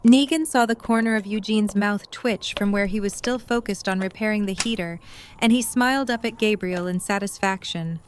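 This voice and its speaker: A woman's voice: medium pitch, moderate pace, a little hiss or hum. A woman reading scripted third-person narration as the narrator of a story.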